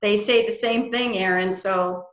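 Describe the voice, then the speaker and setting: A woman's voice: medium pitch, fairly even intonation, unhurried, highs cut off. A middle-aged woman hosting a casual interview over a video call. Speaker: interview host. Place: small room, indoors. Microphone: video call, computer microphone. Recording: echoey room on a video call.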